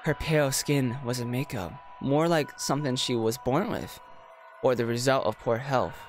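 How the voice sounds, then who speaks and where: A man's voice: medium pitch, fairly even intonation, normal speed, slight background noise. A man reading a visual novel aloud as a streamer, breaking off for slangy, jokey reactions. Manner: slangy, jokey asides between read lines. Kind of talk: reading game text aloud with casual reaction commentary. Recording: music behind the voice. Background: music.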